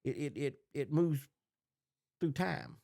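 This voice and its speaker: A man's voice: fairly high pitch, expressive, slow, vocal fry, warm tone. A man talking casually as a podcast co-host in a film discussion.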